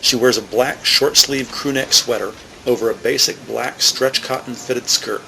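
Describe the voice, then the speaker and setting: A man narrating scenes from a script in a deliberate, descriptive way. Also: mid-range voice, fairly even intonation, creaky, pushed and bright, a little hiss or hum, low-quality recording.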